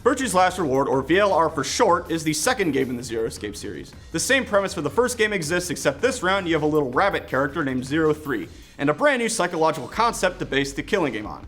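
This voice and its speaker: A man's voice: on the high side, sing-song, fast, few pauses, fairly bright tone. A man narrating an enthusiastic scripted video game review. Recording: music playing behind the voice. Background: background music.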